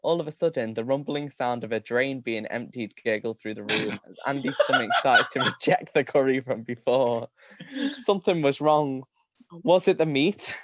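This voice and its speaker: A man's voice: mid-range voice, moderate pace, a bit bright, highs cut off. A man giving a live comedic reading of a story, amused, often breaking into laughter and tripping over his words. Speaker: reader of comic fan fiction.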